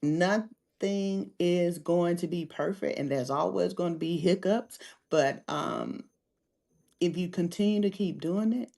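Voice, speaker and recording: A woman's voice: fairly low pitch, a little slow, gravelly, dark tone. A woman life coach answering interview questions in an earnest, encouraging way. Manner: earnest, faith-centered encouragement. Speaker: life coach, podcast guest.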